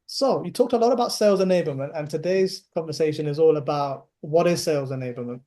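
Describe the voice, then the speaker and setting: A man hosting an online chat in a somewhat high but mellow voice. He stumbles over his words, repeating himself, and keeps the tone light and joking. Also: fast. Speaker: host. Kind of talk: hosting a webinar fireside chat.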